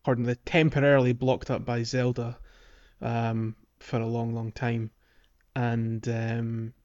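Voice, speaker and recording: A man chatting on a gaming podcast in a loose, rambling way, often stopping to restart his thoughts. His pitch sits mid-range for a man, and the recording is slightly muffled and lo-fi. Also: completely flat delivery, measured pace, a little mellow. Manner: meandering, many fillers and restarts, laughs often. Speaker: podcast host. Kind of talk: casual gaming podcast chat.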